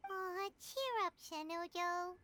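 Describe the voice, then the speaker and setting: A woman voicing a small, cheeky, childlike fairy companion in a video game, with a squeaky, bubbly, blunt delivery. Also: takes it slowly, pushed and bright, a little hiss or hum, full character voice. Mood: encouraging.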